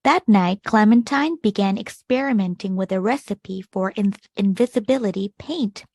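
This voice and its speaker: A woman's voice: mid-range voice, moderate pace, gravelly, darkish tone, no room sound, studio quality. A woman reading a picture book aloud to children as a storytime host, leaning on key words as she tells it. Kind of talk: expressive picture-book reading with short asides to young listeners.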